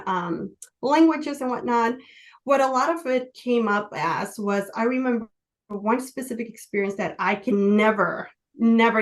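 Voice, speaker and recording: Chicago woman in her 40s, spanish is her first language, talks with lots of ums, earnest guest on a podcast. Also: mid-range voice. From Chicago, USA. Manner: earnest, halting, frequent fillers, drops Spanish words. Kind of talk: casual interview, personal storytelling.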